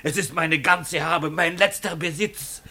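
An actor in a German radio play playing a courteous, down-on-his-luck animal showman, florid, imploring and deferential, with a husky, bright voice. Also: on the high side, a little slow, heavily acted. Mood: pleading, courteous.

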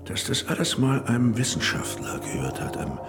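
Excitable tipsy male collector, lively pitch. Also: loud music behind the voice. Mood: musing. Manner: emphatic, halting, repeats himself. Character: drunken, excitable male collector of forbidden antique glass. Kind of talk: German radio drama acting.

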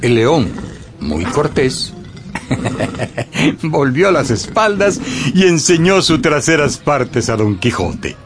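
A man's voice: fairly high pitch, big pitch swings, slow, long pauses, noisy background. A man narrating a radio story in a theatrical, scripted style with suspenseful phrasing.

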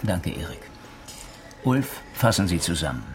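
An actor playing a strict, exacting police chief with a dryly ironic, lecturing manner in a radio crime drama. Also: male voice, deep voice, big pitch swings, a little slow, faint music behind. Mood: probing, dry. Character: stern, exacting male police chief leading a murder team.

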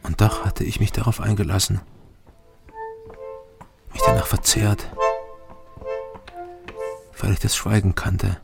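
An actor playing a haunted middle-aged former border guard from East Germany, narrating his thoughts in a subdued, halting way in a radio drama. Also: fairly even intonation, heavily acted. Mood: haunted, brooding. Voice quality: low, gravelly. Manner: subdued, halting, weighty short phrases. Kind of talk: radio drama inner monologue. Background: instrumental music.

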